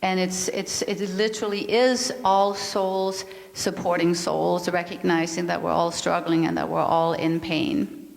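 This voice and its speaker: A calm, earnest middle-aged woman with a Danish accent, whose voice sits a little low. She gives a reflective spiritual talk, now and then repeating a word as she searches for the next one. The sound carries a long reverb from the meeting hall. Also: a little slow, creaky. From Denmark. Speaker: yoga and mindfulness teacher.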